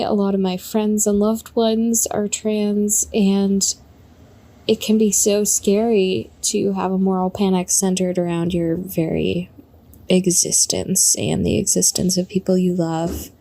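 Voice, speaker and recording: A woman giving a listener message in a slow, thoughtful way. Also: medium pitch, fairly even intonation, gravelly, mellow.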